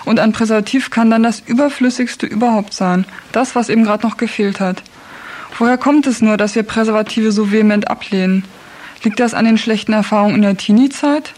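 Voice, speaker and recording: A woman's voice: fairly high pitch, darkish tone. A woman presenting a scripted radio magazine as its host.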